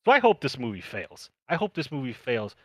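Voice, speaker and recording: A man's voice: mid-range voice, big pitch swings, fairly quick, a bit bright, dry recording. A man co-hosting a podcast, ranting in an opinionated, sarcastic and emphatic way. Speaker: podcast host. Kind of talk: opinionated panel discussion.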